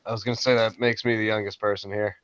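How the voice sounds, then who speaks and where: A man's voice: on the low side, flat delivery, fast, a bit bright, clear, resonant tone, dry recording. A man in his thirties joining a group call from a cell phone, easygoing and friendly. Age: 30s. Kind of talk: casual late-night group chat. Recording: dull, dry cell phone audio.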